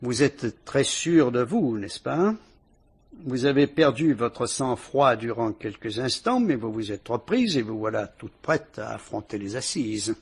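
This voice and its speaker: A man playing a patient police commissioner who speaks in a measured, deliberate, politely ironic way in a vintage radio drama. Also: medium pitch, animated, slightly rough.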